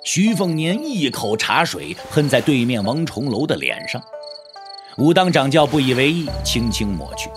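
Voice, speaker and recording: A man's voice: medium pitch, big pitch swings, moderate pace, music in the background. A man narrating a martial arts audio drama in a dramatic, scripted storytelling style.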